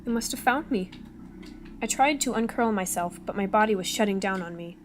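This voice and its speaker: A woman's voice: mid-range voice, brisk, slight background noise, natural voice. A woman playing an anxious, sarcastic teenage boy who narrates his own story in an amateur comic dub. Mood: narrating. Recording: amateur old-device recording, music behind. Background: video game music.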